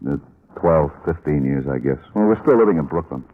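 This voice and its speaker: Fast, low-voiced blunt working man. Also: animated. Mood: grim. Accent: American. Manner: plain-spoken, colloquial, blunt. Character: weary, blunt working-class family man, embittered brother. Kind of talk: 1950s radio drama acting. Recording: muffled, lo-fi old radio recording.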